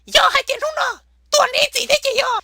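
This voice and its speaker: A woman voicing an excitable, shrill comic cartoon character with a squeaky, creaky voice, prone to bursting into shouts. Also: fairly quick, no echo, studio quality, heavily acted. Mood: agitated, shouting.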